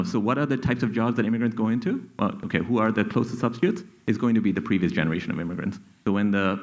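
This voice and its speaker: A middle-aged man with a Bangladeshi accent, explaining research on a panel and often checking in with "okay?" and "right?". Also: fairly low pitch. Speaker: economics professor, panelist. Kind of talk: panel discussion. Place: stage at a public event.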